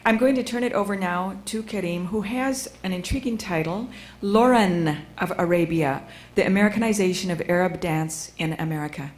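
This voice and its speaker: A woman's voice: fairly low pitch, normal speed, slight background noise. A woman moderating a panel, casually introducing the next speaker and searching for words with fillers. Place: museum lecture room.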